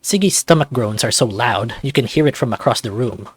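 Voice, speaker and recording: A man's voice: mid-range voice, animated, brisk, husky, no echo. A man hosting a casual playthrough, reading a visual novel's narration aloud.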